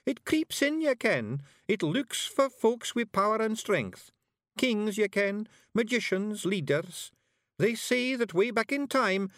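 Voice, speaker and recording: A man voicing a tiny, earnest young Scottish fairy bard, explaining haltingly as in a storybook. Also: high-pitched, a bit bright, no room sound, clean studio sound, pitched above the performer's own voice, exaggerated voice.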